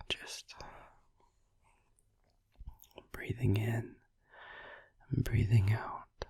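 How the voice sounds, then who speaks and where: A man playing a gentle, caring vampire boyfriend in a soft, halting, breathy whisper for a sleep-aid ASMR roleplay, close to the microphone. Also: on the low side, no room sound, heavily acted. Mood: calm, soothing. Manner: soft, halting, soothing, casual. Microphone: close, dry microphone.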